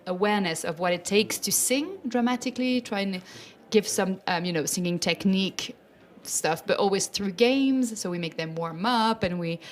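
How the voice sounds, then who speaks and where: A Swiss French mezzo-soprano, a native French speaker, talking with enthusiasm in an interview about her opera workshops for children, laughing often. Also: mid-range voice. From French-speaking Switzerland. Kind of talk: festival interview.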